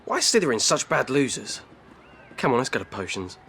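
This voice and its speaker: A man's voice: medium pitch, very animated, a little fast. A man voicing a put-upon British teenage boy in a video game, casual and grumbling.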